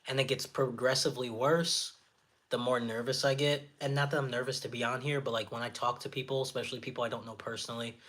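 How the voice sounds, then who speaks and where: A man with a naturally raspy, slightly nasal voice, hosting a casual livestream, reading viewer comments aloud and answering them in a friendly, encouraging way, sniffling often. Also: medium pitch, little pitch movement, fast, a bit bright. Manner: friendly, encouraging, chatty with filler words. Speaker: livestream host.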